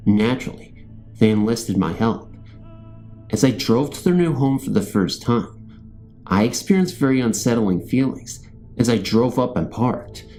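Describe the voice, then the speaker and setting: A man's voice: medium pitch, expressive, unhurried, dark tone, soft background music. A man reading a scripted first-person ghost story as a narrator. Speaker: narrator of true ghost stories.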